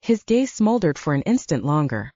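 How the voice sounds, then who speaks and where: A woman's voice: sits low, sing-song, moderate pace, mellow, no room sound, highs cut off. A woman narrating an audiobook, reading scripted romance fiction.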